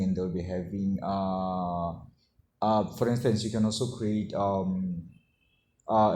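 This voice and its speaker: A man giving an informal coding tutorial to camera, halting as he explains and often checking in with short tags. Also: low-pitched, warm tone. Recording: roomy echo, faint background noise.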